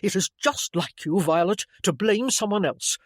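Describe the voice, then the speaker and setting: A narrator takes on an earnest young gentleman from a period novel. He speaks formally and with emphasis, confessional and easily indignant. The pitch moves in a lively way, close and dry. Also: normal speed, dry recording, natural voice. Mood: indignant accusing.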